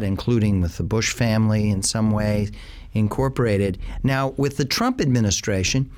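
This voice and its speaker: A man's voice: mid-range voice, darkish tone. A middle-aged man hosting an interview, asking long, rambling questions with fillers.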